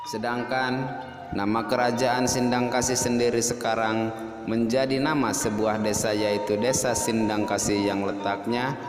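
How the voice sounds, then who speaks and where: A man narrating a scripted folk tale as a storyteller, in a measured way with dramatic pauses and drawn-out key words. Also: mid-range voice, flat delivery. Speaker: storyteller and host. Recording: echoey long reverb, music behind. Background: music.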